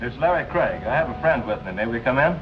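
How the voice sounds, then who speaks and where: An actor playing an anxious husband suspected of murder, earnest and insistent, in 1940s film noir style. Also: male voice, mid-range voice, lively intonation, fast talker, highs cut off, natural voice. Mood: grave.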